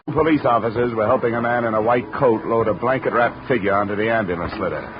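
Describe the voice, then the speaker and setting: A man's voice: medium pitch, fast, noisy background, lightly acted. An actor playing a wry, hard-boiled insurance investigator who narrates his cases in a 1950s radio drama. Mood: dry, businesslike. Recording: dull old radio recording with music.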